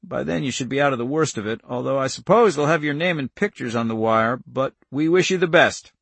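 A man voicing a plainspoken rural farmer in an audiobook, gruff but kindly, with folksy, urgent directness. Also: medium pitch, a little fast, fairly bright tone, no room sound. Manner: folksy, drops into rural idiom.

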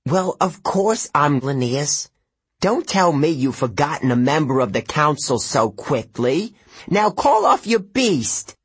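A man's voice: a little high, animated, slow pace, a bit bright, husky, no room sound, voice pushed up, heavily acted. A man voicing a fussy, cowardly, very old and fat male satyr, whiny and indignant. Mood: panicky.

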